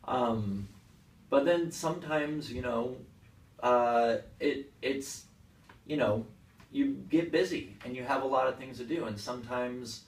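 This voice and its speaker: A man who performs on stage answers interview questions casually, explaining things simply in a halting, conversational way. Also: mid-range voice. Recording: echoey room, far from the mic.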